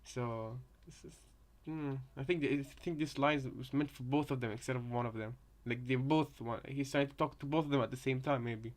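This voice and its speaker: A man's voice: medium pitch, steady tone, normal speed, few pauses. A man giving casual reaction commentary, hesitant and repeating himself as he thinks aloud. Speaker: reactor, fan theorist.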